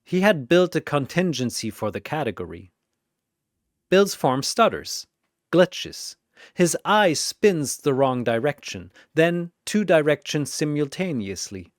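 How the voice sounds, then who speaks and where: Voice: medium pitch, big pitch swings, unhurried, no echo, clean studio sound. A narrator delivering a dramatic, scripted battle story.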